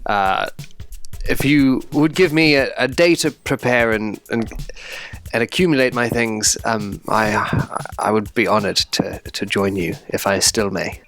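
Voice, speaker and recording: Guy as shy young wannabe hero in a tabletop game, slow n halting, super polite, unsure of himself. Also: fairly low pitch, a bit bright, soft background music. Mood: nervous, honored. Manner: hesitant, halting, deferential. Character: timid, polite, self-doubting young would-be hero.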